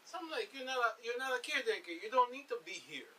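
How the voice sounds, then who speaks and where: A man's voice: extremely high pitch, big pitch swings, fast talker, bright, forceful tone. A man speaking casually and emphatically in colloquial English, telling personal stories on a radio panel. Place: radio discussion with several people. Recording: hissy, distant-sounding studio talk.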